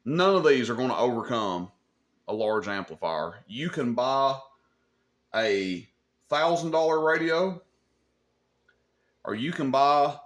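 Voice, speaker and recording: A man's voice: mid-range voice, animated, slow pace, long pauses. Plain-spoken man giving hobby advice. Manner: opinionated, plain-spoken explaining, often checking with "okay?". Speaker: host of a CB radio advice channel. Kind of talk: informal hobby tutorial talk.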